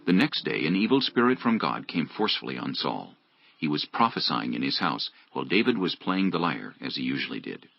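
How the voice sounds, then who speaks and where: A man narrating scripture dramatically from a script, in a gravelly, husky voice. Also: rumbling low, big pitch swings, brisk, muffled recording.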